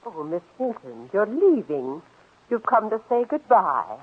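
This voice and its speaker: A woman playing a cold, scheming, middle-aged older sister in a 1940s radio drama, speaking in a clipped, measured, commanding way. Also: medium pitch, big pitch swings, breathy edge, narrow, tinny audio. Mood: guarded surprise. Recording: band-limited old radio recording.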